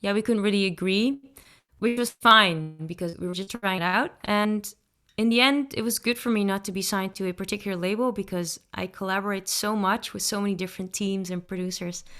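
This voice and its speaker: A woman from the Netherlands with a Dutch accent, a singer-songwriter answering interview questions in a relaxed, thoughtful way with frequent ums and restarts. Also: mid-range voice, a little fast.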